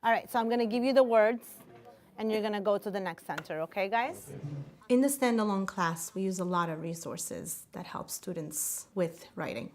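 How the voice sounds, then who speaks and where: A woman whose first language is Turkish, a teacher explaining her class and guiding students with clear, measured talk. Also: mid-range voice, expressive, darkish tone.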